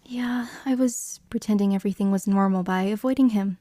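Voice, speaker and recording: A woman's voice: mid-range voice, fairly quick, dark tone, slight background noise, close to an everyday voice. A young woman playing a shy, apologetic female hospital volunteer in an audio drama, with a hesitant manner. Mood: reflective. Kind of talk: audio drama acting with reflective first-person narration.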